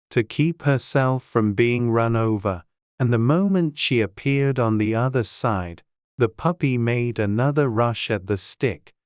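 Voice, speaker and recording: An unhurried storyteller reading a classic tale aloud, slow and measured with gentle expression. The voice sits at a middle pitch and sounds dry and close to the mic. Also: clear, resonant tone, no echo. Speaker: audiobook narrator. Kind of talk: scripted storybook narration.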